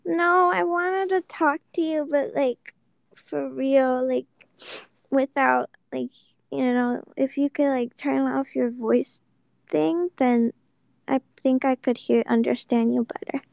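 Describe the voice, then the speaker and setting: A woman's voice: high-pitched, fairly even intonation, takes it slowly, vocal fry, a little mellow, dry recording. A woman on a voice-chat call, blunt and skeptical. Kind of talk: casual voice call.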